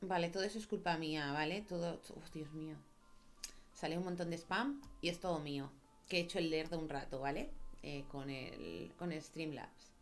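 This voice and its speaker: A woman's voice: low-pitched, fairly quick, soft background music. A woman with a Spanish accent chatting informally and self-deprecatingly as she hosts a game livestream. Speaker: livestream host. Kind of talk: casual chat while streaming a game.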